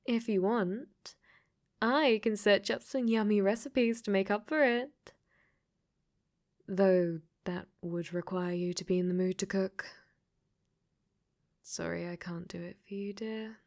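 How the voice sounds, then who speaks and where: A woman voicing a clingy, possessive AI dating-sim girl in a soft, coaxing, teasing ASMR roleplay that drops into whispers. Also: medium pitch, takes it slowly, pauses often. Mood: soft, sweet.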